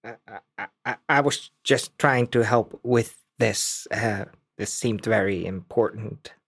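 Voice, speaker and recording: A man's voice: fairly low pitch, slow, vocal fry, fairly bright tone, slightly rough, no echo, studio quality. A man playing a tabletop game, talking casually in a hesitant, joking way as he describes his character. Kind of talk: casual roleplay chat.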